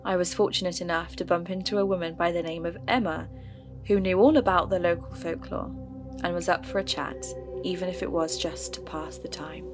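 A woman with a lowish voice narrates her search into a local mystery. She speaks in an earnest, measured way. Music plays behind her. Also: fairly even intonation. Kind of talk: scripted narration.

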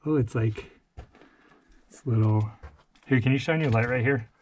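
Man exploring a mine, mellow voice. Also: mid-range voice, moderate pace, slight background noise. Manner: curious, thinking aloud, often hushed to near-whisper. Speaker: mine explorer and channel host. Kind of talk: casual exploring commentary. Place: inside an abandoned mine tunnel.

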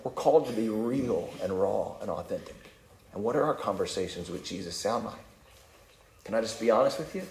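A male pastor preaching a sermon in a calm, conversational way, self-deprecating and often repeating words. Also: fairly low pitch, brisk, reverberant, a little hiss or hum. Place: church sanctuary.

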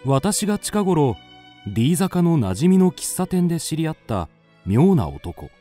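A curious young mystery narrator in a radio drama. His voice is mellow and fairly high, moving expressively over faint music. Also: big pitch swings, measured pace. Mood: brooding, measured.

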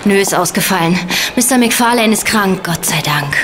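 An actress playing a breezy, cheerful teenage schoolgirl in an audio drama, casual and animated. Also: medium pitch, moderate pace, slight background noise, natural voice. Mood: breezy, excited.

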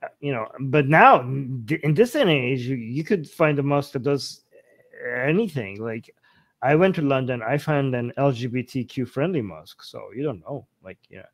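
A man's voice: medium pitch, animated, normal speed. A young man from Iran chatting casually and joking on a video call with a headset. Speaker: co-host. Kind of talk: casual chat.

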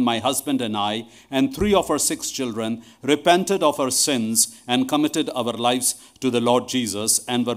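A middle-aged man speaking to a congregation from a church lectern microphone. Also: medium pitch. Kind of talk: addressing a congregation, reading a testimony aloud. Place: large church hall, indoors.

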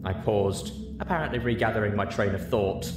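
A man narrating a story in an expressive audiobook style. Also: lowish voice, fairly quick, music in the background.

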